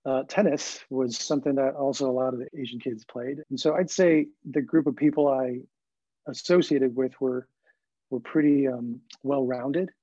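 A man's voice: medium pitch, steady tone, normal speed, dark tone, no room sound, dull audio. A man in his forties from Hong Kong who learned English as a child, telling personal stories as a guest in a conversational interview. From Hong Kong, then the California Bay Area from age ten. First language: not English, learned it at about ten. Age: 40s.

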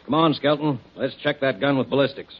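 An actor playing a blunt, businesslike police inspector in an old-time radio drama, terse and matter-of-fact. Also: male voice, mid-range voice, a little fast, soft background music, natural voice. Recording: muffled vintage radio broadcast recording.

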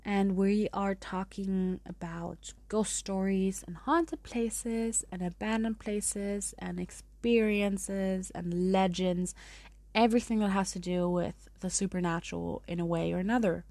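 A woman's voice: medium pitch, fairly even intonation, a little slow, mellow, no room sound. A woman in her twenties with a German accent, hosting a podcast in a chatty, rambling way. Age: 20s. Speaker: podcast host. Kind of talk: casual podcast monologue.

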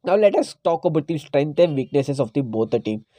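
A man, hosting a casual solo podcast, hoarse from a cold and pausing often with fillers. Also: fairly high pitch, expressive, mellow, no room sound. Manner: hesitant, frequent "uh" fillers. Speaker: podcast host.

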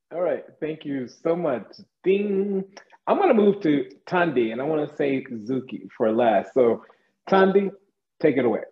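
A man's voice: on the high side, animated, a little slow. Playful man hosting in an echoey room. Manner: playful, joking, enthusiastic. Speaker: panel host. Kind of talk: upbeat panel moderating. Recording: echoey, dull room sound.

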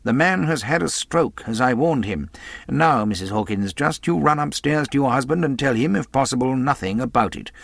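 A brisk country doctor in a storybook, acted by a male narrator. He is blunt and scornful, giving orders in a matter-of-fact way, recorded very dry. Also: medium pitch, animated, gravelly, dry recording, pitched above the performer's own voice.

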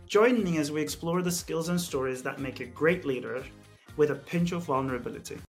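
A man's voice: fairly high pitch, a little fast, over music. A man hosting a podcast interview, upbeat and chatty, asking personal questions. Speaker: podcast host.

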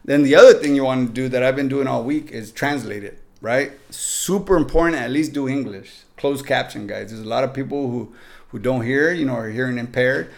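A man's voice: mid-range voice, brisk, gravelly. A man with a Mexican accent, a podcast guest giving upbeat, joking tips straight to the listeners. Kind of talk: casual podcast chat.

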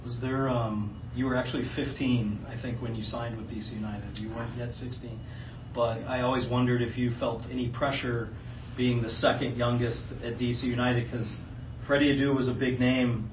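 A man's voice: medium pitch, level tone, moderate pace, hardly any pauses. A man working as a sports reporter, asking long conversational questions at a press scrum. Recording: roomy, distant, muffled, noisy.

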